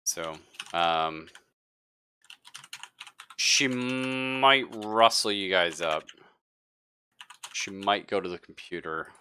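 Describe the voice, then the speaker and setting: A man's voice: low-pitched, drawn-out words, pauses often, sharp tone, slight background noise. A man narrating as game master of a tabletop roleplaying game, thinking aloud casually and hesitantly. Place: live online stream.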